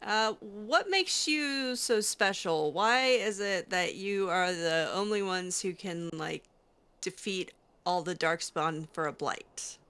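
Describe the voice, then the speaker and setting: A woman roleplays a young circle mage in a fantasy game, with a crisp, bright voice at a medium pitch. She is curious and wryly skeptical, speaking hesitantly and casually as she thinks aloud. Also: animated. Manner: hesitant, conversational, halting asides. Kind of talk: improvised video game roleplay.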